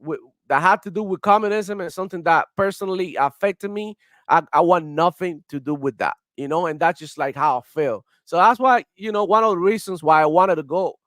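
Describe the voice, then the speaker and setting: A man's voice: high-pitched, brisk, a bit bright, no room sound, studio quality. A young man from Cuba with a heavy Cuban Spanish accent, rambling heatedly in casual live-stream commentary. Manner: rambling, heated, many fillers and restarts. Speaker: gaming streamer.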